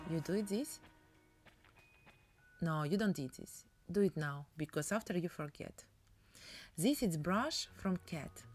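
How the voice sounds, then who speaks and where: A woman's voice: lowish voice, bright, forceful tone. A woman with a Russian accent chatting in broken English while demonstrating a painting technique. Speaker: painting tutorial host.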